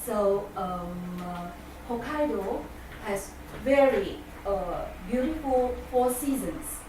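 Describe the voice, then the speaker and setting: A woman's voice: mid-range voice, lively intonation, slow, noisy background. Singaporean woman speaking far off in an echoey hall. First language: Chinese. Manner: hesitant, many fillers. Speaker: guest speaker. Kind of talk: talk to an audience.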